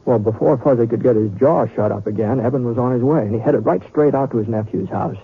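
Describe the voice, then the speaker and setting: Folksy western storyteller on tinny radio. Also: male voice, medium pitch, vocal fry, breathy edge. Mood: folksy, wry. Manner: folksy, conversational, halting. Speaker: narrator. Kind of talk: old-time radio storytelling. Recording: tinny radio sound with crowd noise.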